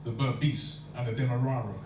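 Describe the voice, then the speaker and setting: A man's voice: medium pitch, flat delivery, brisk. A man performing spoken word poetry in a lecture hall, with a rhythmic, rhyming delivery. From London. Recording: echoey hall from far off, faint hum.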